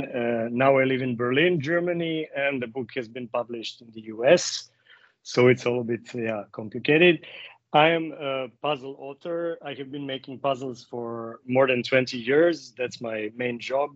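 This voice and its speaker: A man's voice: medium pitch, no room sound. A middle-aged man from Serbia, explaining conversationally as a guest, with hesitant phrasing. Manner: hesitant, frequent fillers and self-corrections. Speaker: interview guest, puzzle author. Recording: dry, slightly dull lo-fi audio.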